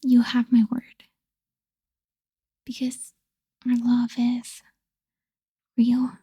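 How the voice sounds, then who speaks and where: A woman voicing a possessive, lovesick female vampire in an intimate, breathy ASMR roleplay whisper, pleading and tender yet menacing. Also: fairly high pitch, monotone, mellow, dry recording, dull audio, exaggerated voice. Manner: slow, pleading, intimate delivery.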